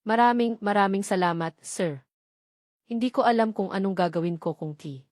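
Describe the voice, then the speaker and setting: A woman's voice: mid-range voice, normal speed, darkish tone, no room sound, clean studio sound, close to an everyday voice. A woman narrator voicing an anxious, protective mother in a romance drama, with a Filipino accent and urgent, emotional delivery. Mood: shaken gratitude.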